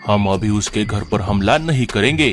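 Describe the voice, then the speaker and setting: A man's voice: fairly low pitch, moderate pace, soft background music, natural voice. A man voicing a menacing eagle chief in a children's cartoon, domineering and scolding. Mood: scolding, scheming. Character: bossy, menacing male eagle chief of predator birds. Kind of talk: children's cartoon villain acting.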